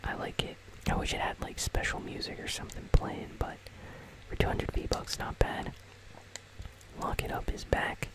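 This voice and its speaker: A host whispering casual, conversational ASMR commentary on a video game in a breathy, unvoiced voice, close to the microphone. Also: moderate pace, poor audio. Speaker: host of a gaming news channel.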